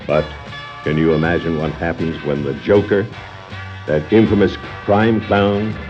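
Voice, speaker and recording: Male narrator, dramatic, 1960s superhero record, dull lo-fi sound, music underneath. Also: sits low. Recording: dull vintage vinyl record, music behind.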